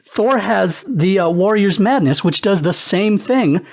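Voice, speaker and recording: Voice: medium pitch, lively intonation, a little slow, darkish tone. A panelist arguing in a casual comic debate with dry, emphatic delivery.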